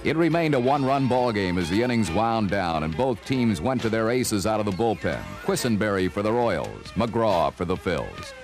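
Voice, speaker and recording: A man narrates a scripted sports documentary with a dramatic storyteller's delivery. His low voice moves up and down in a lively way, over clearly audible music on an old vinyl record. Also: normal speed, hardly any pauses.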